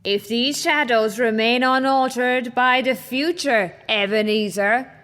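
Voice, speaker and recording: A woman playing a solemn Christmas ghost who speaks slowly and gravely in a radio play. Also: a little high, fairly bright tone. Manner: slow, grave and measured. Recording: long reverb on the voice.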